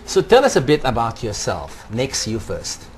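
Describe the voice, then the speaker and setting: Male TV host, mid-pitched voice. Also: huge pitch swings, moderate pace. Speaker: TV talk show host. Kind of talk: hosting a TV interview. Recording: faint background noise.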